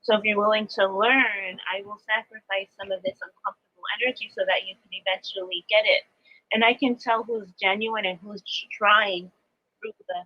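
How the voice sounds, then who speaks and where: Woman, mid-pitched, earnest spiritual teacher giving reflective live guidance; slightly muffled lo-fi sound. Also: no echo. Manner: earnest, reflective, explanatory, new-age vocabulary. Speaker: spiritual teacher, astrology adviser. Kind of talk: live spiritual coaching talk, semi-monologue.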